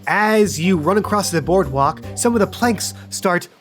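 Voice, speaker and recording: A man's voice: high voice, expressive, a little slow, over music. A man narrating an improvised tabletop game as game master, casual and playful in manner.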